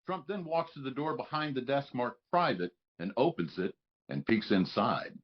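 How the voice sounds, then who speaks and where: A man with a husky voice reading stage directions as scripted narration at a live table read. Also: medium pitch, animated, moderate pace, muffled recording.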